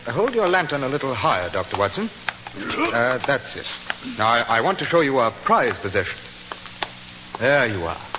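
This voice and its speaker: An actor playing a proud, courteous British earl, formal and authoritative, in 1940s radio drama style. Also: male voice, medium pitch, big pitch swings, moderate pace, long pauses, lightly acted. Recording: old radio recording, muffled, clear hiss.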